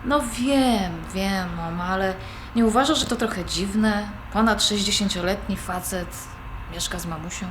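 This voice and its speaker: A woman's voice: medium pitch, normal speed, lightly acted. A woman playing an adult granddaughter with a dry, conversational manner in a naturalistic audio drama. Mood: casual. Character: Agnieszka, adult granddaughter, dry, easily irritated. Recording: very roomy, faint background noise.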